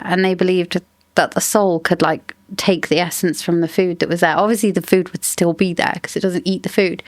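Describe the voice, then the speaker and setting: A woman's voice: fairly low pitch, no echo. Rambling woman musing about a book. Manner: thinks aloud, rambling, lots of "like" and hedging. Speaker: book podcast host. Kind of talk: casual book discussion.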